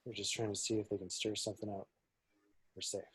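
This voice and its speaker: A man's voice: on the low side, little pitch movement, fast, a bit bright, slightly rough, no echo. A man playing a cocky, teasing roguish pilot in an amateur script reading, with casual delivery. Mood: wry, teasing. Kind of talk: amateur script table read.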